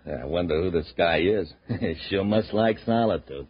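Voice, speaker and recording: A man's voice: low-pitched, animated, normal speed, slightly rough, dry recording, full character voice. An actor playing a wary henchman in an old space radio drama. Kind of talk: 1950s radio drama acting. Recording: noisy, muffled old radio recording.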